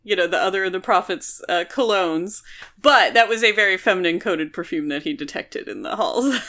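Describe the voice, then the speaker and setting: A woman with a crisp, bright voice co-hosts a casual book discussion. She is chatty and likes to explain, leaning on key words for emphasis. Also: on the low side, normal speed. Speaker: podcast co-host.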